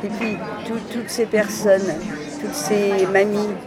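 A man's voice: high-pitched, little pitch movement, slow. A man with a Parisian accent, interviewed in the street, hesitant and repeating himself with many fillers. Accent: Parisian French. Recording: loud street crowd and music behind.

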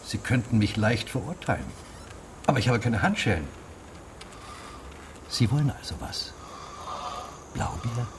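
An actor playing a defiant, resentful village official in a German radio play, speaking bluntly and with foreboding. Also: male voice, medium pitch, lively intonation, a little slow, long pauses, background noise, natural voice. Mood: indignant, defiant.

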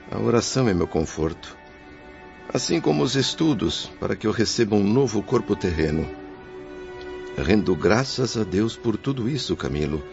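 A man's voice: sits low, vocal fry, a little mellow, exaggerated voice. An actor playing the guilt-ridden, grateful spirit of a father in a radio soap, speaking in a solemn, declamatory way. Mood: humbly devout. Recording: dull lo-fi radio drama recording.

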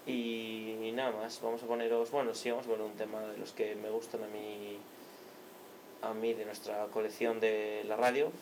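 A man hosts a small radio show in an easygoing, halting way, pausing on fillers as he talks. His voice has a gravelly, creaky texture. A faint hiss sits under the recording. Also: lowish voice, flat delivery. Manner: hesitant, many fillers and restarts. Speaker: radio host. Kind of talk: casual radio chat.

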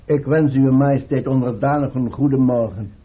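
Actor as a courtly chancellor, tinny old radio. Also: male voice, medium pitch, steady tone, moderate pace, a little hiss or hum, lightly acted. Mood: deferential. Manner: formal, courtly, careful. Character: deferential, weary male imperial chancellor. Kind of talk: Dutch radio play acting.

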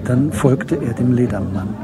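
A man narrating a German literary radio play in a measured, sober storytelling manner. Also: medium pitch, over a noisy crowd, close to an everyday voice. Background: music.

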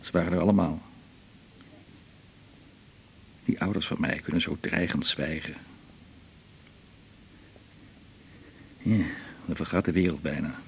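An actor playing a melancholy man in his forties in a radio drama, with a gravelly, husky voice, confiding in a halting, reflective way. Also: extremely low pitch, fairly even intonation, slight background noise. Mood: wistful, reflective. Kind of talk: naturalistic radio drama dialogue.

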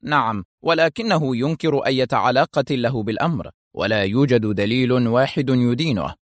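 A man's voice: medium pitch, normal speed, dry recording, clean studio sound, natural voice. A man voicing a resigned male police major, explaining things in an official way in an audiobook thriller.

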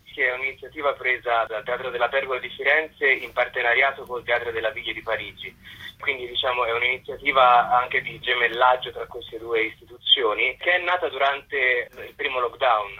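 A man's voice: medium pitch, fairly even intonation, a little fast, sharp tone, breathy edge, low-quality recording. A man explaining a project as a guest in a radio interview. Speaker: theatre representative, interview guest.

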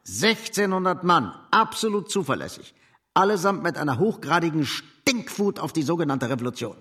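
An actor playing a hard, boastful political commander in a German radio drama, clipped, assured and emphatic. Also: male voice, a little high, sing-song, normal speed, bright, forceful tone, husky, full character voice. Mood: boastful, confident.

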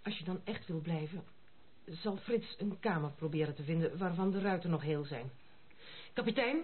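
A woman's voice: on the low side, lively intonation, normal speed, husky. An actress playing a brusque, headstrong young noblewoman in a Dutch radio play, commanding and teasing in military turns of phrase. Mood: curt, reluctant. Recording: tinny phone-like old radio play recording.

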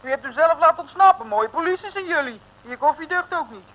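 A woman's voice: high voice, expressive, fast talker. An actress playing a pert, literal-minded, complaining female detective sidekick in an old comic radio serial. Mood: indignant. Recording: muffled, noisy old radio recording.

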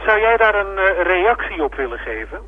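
A man with a Dutch accent from the Netherlands, a reporter putting interview questions in a halting, improvised way over a phone line. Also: high-pitched, very animated. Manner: halting, searching for words. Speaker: radio reporter. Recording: narrow, tinny phone line.

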